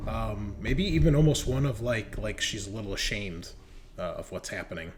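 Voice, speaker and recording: Low-voiced man running a tabletop game. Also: normal speed. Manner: hesitant, searching for words. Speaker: game master. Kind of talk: improvised tabletop game narration.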